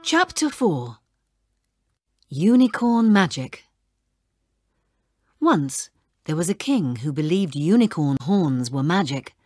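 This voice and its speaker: A woman reads a children's story aloud as narrator. Her delivery is slow and lively in pitch, with a husky touch, recorded close and dry over soft music. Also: dry recording. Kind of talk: expressive children's read-along narration.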